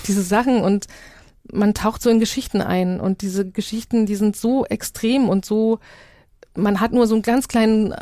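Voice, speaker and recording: A woman's voice: medium pitch, a little mellow, dry recording. Reflective woman in a dry studio interview. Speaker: interview guest, audio documentary maker. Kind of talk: conversational interview, recounting own project.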